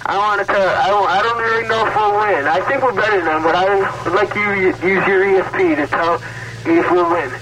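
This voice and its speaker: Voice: medium pitch, brisk, heavy background noise. A caller chatting casually by phone on a 1970s radio show. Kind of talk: casual call-in chat.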